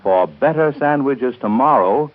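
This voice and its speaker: A man's voice: medium pitch, animated, unhurried, background noise. A man reading a scripted 1930s radio advertisement as announcer, persuasive, polished and emphatic. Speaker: commercial announcer. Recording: muffled 1930s radio recording.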